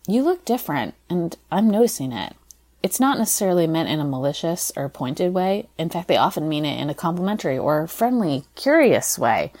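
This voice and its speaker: A young American woman, a stage performer, reading her personal essay aloud in an expressive, conversational way. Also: low voice. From United States. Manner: expressive, conversational, acts out quoted dialogue.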